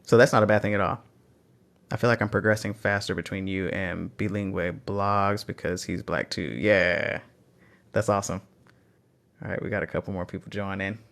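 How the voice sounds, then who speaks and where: A man's voice: deep voice, steady tone, moderate pace, creaky, a bit bright. A man with a Texan accent hosting a live phone stream, reading out chat questions and encouraging language learners. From Texas. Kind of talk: casual livestream Q&A.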